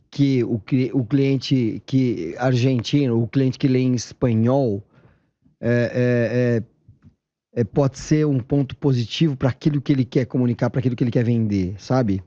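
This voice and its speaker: A man's voice: mid-range voice, warm tone, no echo, muffled recording. A man with a Brazilian accent advising casually as a panelist.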